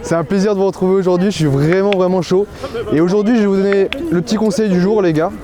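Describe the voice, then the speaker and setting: A young man vlogging on a city street, energetic and slangy, stumbling over his words now and then. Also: high-pitched, fast talker. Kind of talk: street pickup vlog. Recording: busy street crowd behind.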